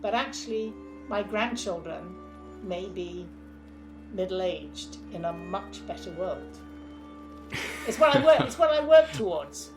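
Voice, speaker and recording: A woman's voice: mid-range voice, expressive, slow pace, poor audio. Older woman, interview guest, emphatic, forthright, thinks aloud, faint music behind. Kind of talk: interview answers.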